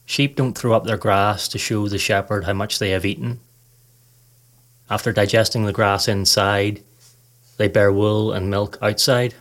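A man hosting a podcast, reading a scripted guided meditation in a calm, measured, instructive way. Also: lowish voice.

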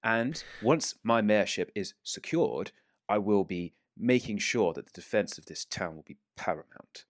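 A man's voice: fairly low pitch, expressive, measured pace, no echo, clean studio sound. A man voicing a haughty, impatient male mayor who speaks in a clipped, formal and dismissive way.

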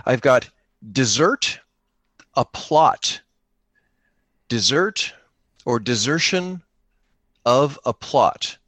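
Guy running a tabletop game, chatty n jokey, thinking out loud, close dry sound. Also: mid-range voice, expressive, drawn-out words, pauses often, no echo. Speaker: co-player running a solo-engine tabletop game. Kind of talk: casual improvised tabletop game narration.

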